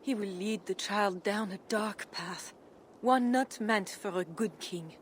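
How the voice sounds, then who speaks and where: A woman playing a dignified, guarded and pious medieval Frankish queen in measured, formal video game dialogue. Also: mid-range voice, slight background noise, close to an everyday voice. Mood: earnest, resolute. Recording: music behind the voice.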